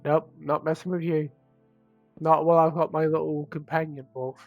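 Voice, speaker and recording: A man with a cold, sounding croaky and sniffly, rambling casually as he comments on his own gameplay, with self-mocking asides. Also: a little high, level tone, normal speed, dry recording, narrow, tinny audio, soft background music. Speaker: gaming channel host.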